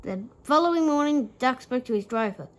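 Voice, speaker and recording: A woman reading a children's bedtime story aloud, haltingly, stumbling and repeating words as she goes. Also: high voice, expressive.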